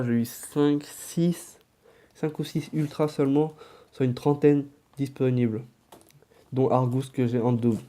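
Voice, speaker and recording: Male vlogger with a cold: unhurried, deep-toned, dark and soft delivery, mumbles asides mid-task. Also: slight background noise. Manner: relaxed, drops to muttered asides while handling cards. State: has a head cold and sore throat. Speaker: card-opening vlogger. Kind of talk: casual chat while opening card packs.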